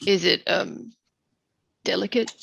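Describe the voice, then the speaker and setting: A woman's voice: fairly low pitch, slow pace, vocal fry, slightly rough, no room sound, full character voice. A woman playing a dry, exasperated small-town mayor with sarcastic delivery in a comedy table read. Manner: sarcastic, deadpan.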